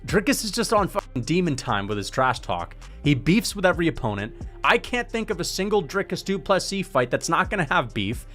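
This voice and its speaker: Animated young-sounding MMA pundit. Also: male voice, a little high, a little fast, soft background music. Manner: animated, loose, swears freely. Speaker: MMA commentator. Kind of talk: casual opinion commentary.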